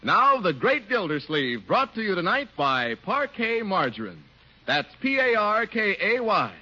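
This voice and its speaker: A man's voice: high-pitched, big pitch swings, measured pace, sharp tone. An American man working as a radio announcer, reading a script in a polished, emphatic 1950s sales style. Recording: muffled vintage radio recording. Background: music.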